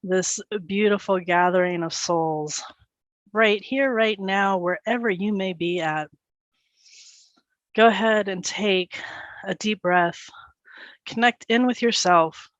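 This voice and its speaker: A woman leading a guided meditation, speaking gently and soothingly in short phrases with pauses between them. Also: medium pitch, no echo, dull audio.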